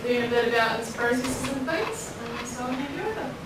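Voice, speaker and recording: A woman's voice: a little high, animated, brisk. A woman host casually introducing the next speaker at an event, hesitating with ums. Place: event room with audience. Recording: echoey room, distant mic, noisy.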